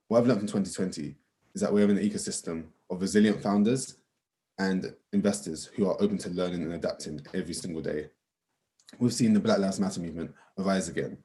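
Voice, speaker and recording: A man giving measured, earnest remarks as an investor on a panel. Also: deep voice, warm tone. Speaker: angel investor, panel guest.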